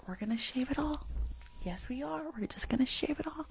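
A woman in her twenties doing hushed ASMR roleplay and livestream chat, murmuring repetitive little phrases close to the microphone. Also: high-pitched, lively intonation, a little fast, vocal fry. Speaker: ASMR creator and livestream host. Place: small indoor room at home. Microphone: close desktop microphone, earphones on.

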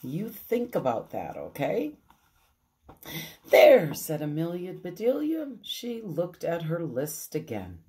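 A woman in her fifties reading a children's story aloud expressively, deliberately, with questioning asides to young listeners. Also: on the low side, huge pitch swings, mellow. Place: small room at home. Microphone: phone at arm's length.

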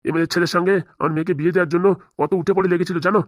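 A man's voice: sits high, fairly even intonation, rapid-fire, dry recording, studio quality, close to an everyday voice. A man playing a stern, protective middle-aged father-in-law who lectures insistently in a comic cartoon. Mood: scolding. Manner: insistent, lecturing, rhetorical questions. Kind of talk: comic cartoon drama dialogue.

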